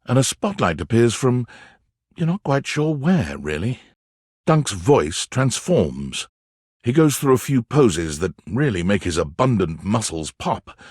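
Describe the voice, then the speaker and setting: An actor narrating a video game in the second person, in a wry storytelling manner. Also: male voice, medium pitch, a little mellow, slightly rough, dry recording, studio quality. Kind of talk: scripted narration.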